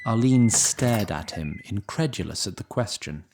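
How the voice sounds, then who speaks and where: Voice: deep voice, lively intonation, unhurried, darkish tone, a little hiss or hum. A performer serving as the composed third-person narrator of a scripted fantasy audio drama.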